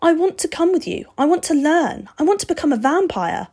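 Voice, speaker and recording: Storybook schoolboy, female reader: fearless, insistent, eager, pitched up with lively swings. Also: brisk. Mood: stubborn. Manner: insistent, earnest, pleading.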